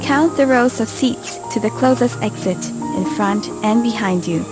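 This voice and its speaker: A woman giving a scripted safety briefing in a clear, calm instructional way, recorded as a studio voice-over. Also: fairly high pitch, moderate pace. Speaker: safety video narrator. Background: music bed.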